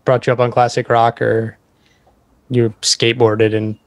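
Male podcast host, average pitch, agreeable casual chat, close dry recording. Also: little pitch movement, unhurried, dry recording. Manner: informal, agreeable, short reactions.

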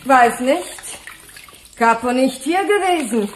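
High, echoey foreign woman, guarded. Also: animated, slow. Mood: guarded, curt. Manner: terse broken German, stonewalling. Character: guarded immigrant woman, curt, uncooperative witness. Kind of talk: German crime radio play acting. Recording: roomy echo with faint noise.

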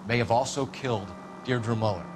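An actor playing an earnest, by-the-book American homicide detective in a video game, clipped, formal and businesslike. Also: male voice, medium pitch, normal speed, noisy background, lightly acted. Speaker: police detective. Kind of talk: video game dialogue, crime drama.

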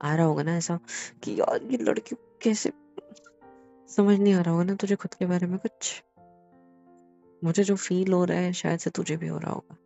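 A man's voice: sits high, fairly even intonation, normal speed, creaky, dark tone. A young man playing a teasing male best friend who keeps questioning insistently in a phone-call drama. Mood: amused, probing. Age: young adult. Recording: muffled lo-fi audio under music.

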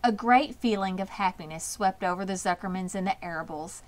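A woman reading a children's storybook aloud as an expressive narrator. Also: medium pitch, brisk. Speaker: audiobook reader.

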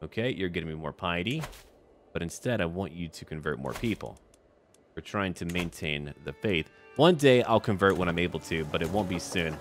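Deep-voiced man narrating his gameplay. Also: animated, moderate pace, faint music behind. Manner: thinks aloud in short clipped remarks, playing a ruler. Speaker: gaming video host. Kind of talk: in-character strategy game playthrough commentary.

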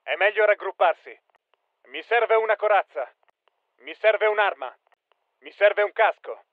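An actor voicing a tough soldier barking clipped, commanding battlefield callouts for a military shooter game. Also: male voice, sits high, expressive, a little slow, no echo, narrow, tinny audio. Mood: focused, businesslike.

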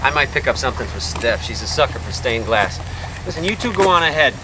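An easygoing family man played by a male actor in a naturalistic radio drama. He has a mid-pitched voice with lively movement, heard over loud ambient noise. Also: fast, lightly acted. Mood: thoughtful. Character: easygoing small-town husband and father. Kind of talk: naturalistic audio drama acting. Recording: loud car and street ambience.

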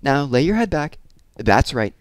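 A man's voice: mid-range voice, big pitch swings, unhurried, dry recording, voice pushed up. A man voicing a chatty, wistful, kindly hairdresser woman with a folksy, exclaiming manner in an audiobook story. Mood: soothing.

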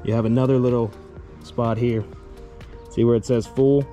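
A young man, casually showing his fishing tackle in a joking, loud, animated vlog style. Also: mid-range voice, normal speed. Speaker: fishing vlogger. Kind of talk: casual show-and-tell vlog. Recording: music playing under voice. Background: background music.

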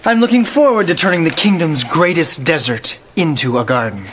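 An actor playing an earnest, naive young knight in a comic fantasy game. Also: male voice, fairly high pitch, animated, fairly quick, a little hiss or hum. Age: young adult. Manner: bright-eyed, polite, earnest. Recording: music behind the voice.

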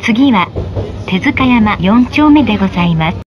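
A woman reading formal, scripted tram stop announcements, politely and with measured, careful enunciation. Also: animated, lo-fi sound. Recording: noisy in-vehicle recording with tram rumble. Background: tram running noise.

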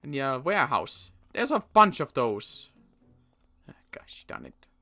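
A man playing a wary adult survivor in an improvised game roleplay, talking in a wry, halting way with frequent restarts. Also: medium pitch, huge pitch swings, creaky, bright, forceful tone, soft background music. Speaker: roleplay player.

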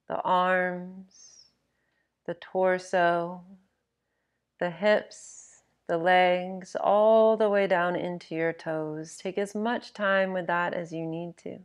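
A woman narrating a guided sleep meditation in a gentle, measured, instructional manner. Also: fairly low pitch.

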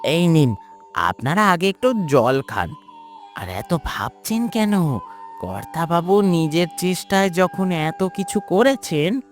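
A man's voice: fairly high pitch, sing-song, slow, faint music behind. A man voicing a loyal household servant in a cartoon, deferential and reassuring. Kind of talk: Bengali moral cartoon voice acting.

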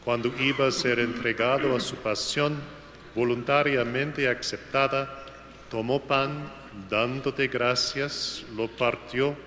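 A man reciting the Mass prayers as a priest, in a slow, solemn liturgical manner. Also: mid-range voice, sharp tone. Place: church. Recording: echoey church with noise.

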